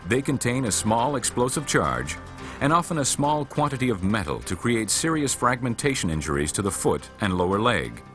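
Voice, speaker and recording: A man reading scripted instructional narration for a military training film in a measured, formal, matter-of-fact way. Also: mid-range voice, fairly bright tone.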